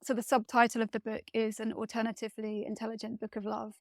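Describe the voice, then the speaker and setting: A woman speaking as a guest author in a reflective interview, thoughtful and often pausing to search for her words. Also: fairly high pitch, monotone, no echo, studio quality.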